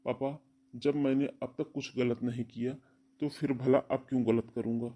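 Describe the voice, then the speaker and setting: Domineering elder brother, played by a man, husky and mellow, insistent, cartoon drama. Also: mid-range voice, level tone, moderate pace, lightly acted. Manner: firm, insistent. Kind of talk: Hindi cartoon family melodrama.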